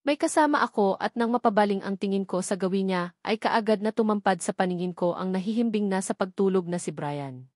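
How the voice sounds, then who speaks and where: A woman's voice: mid-range voice, a little fast, darkish tone, no echo. Woman narrating a romance story, dry sound. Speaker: audiobook narrator. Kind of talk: scripted first-person romance novel narration.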